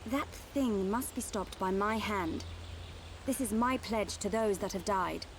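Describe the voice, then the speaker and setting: A woman's voice: medium pitch, measured pace, a little hiss or hum, close to an everyday voice. A British actress playing a proud, guarded young noblewoman in a video game, with a haughty, formal manner. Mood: grave, startled. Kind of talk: dramatic video game acting.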